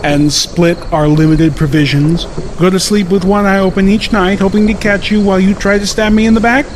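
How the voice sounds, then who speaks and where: A man's voice: high voice, fairly even intonation, fairly quick, noisy background, pitched above the performer's own voice, natural voice. A man voicing a cynical, mercenary male unicorn pony with a bored, snide drawl in an audiobook story. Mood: snide scorn.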